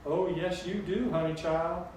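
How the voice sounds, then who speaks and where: A man's voice: high voice, steady tone, measured pace, a little mellow, voice pushed up. A man voicing an elderly Southern grandmother spirit in an audiobook, sweet and blunt, with a folksy drawl. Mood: teasing, sweet. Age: older. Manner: folksy drawl full of endearments. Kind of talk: audiobook storytelling character voice. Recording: very echoey room, distant mic, faint noise.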